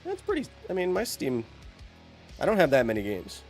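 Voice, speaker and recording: A man's voice: a little high, wildly swooping pitch, normal speed, faint music behind. A man live-streaming a game, chatting to his viewers in a rambling, jokey way while getting over bronchitis, a little congested. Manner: rambling, jokey, talks to chat.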